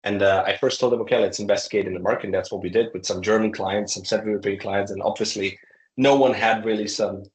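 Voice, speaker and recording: A man, a startup founder, answering interview questions in a halting way, with many fillers and restarts. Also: on the low side, steady tone. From Croatia. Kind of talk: conversational interview. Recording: echoey room.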